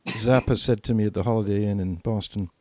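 A man's voice: fairly low pitch, fairly even intonation, fast, dark tone, no room sound. An older British man reminiscing as a podcast co-host, repeating phrases for emphasis. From Britain.

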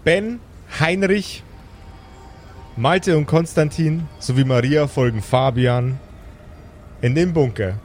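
A man acting as game master, narrating an improvised tabletop game in a measured, deliberate way. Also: fairly high pitch, faint music behind. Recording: faint background noise.

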